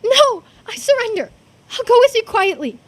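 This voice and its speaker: A woman's voice: very high voice, big pitch swings, normal speed, pitched above the performer's own voice. A woman voicing an earnest, distressed young island girl in a visual novel read-through. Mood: desperate, resigned.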